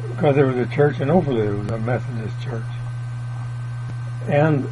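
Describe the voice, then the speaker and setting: A man's voice: medium pitch, moderate pace. An older man, telling family history in a folksy, meandering way. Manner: folksy, meandering, plain country grammar. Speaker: oral history interviewee. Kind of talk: rambling family reminiscence. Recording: dull lo-fi tape with mains hum.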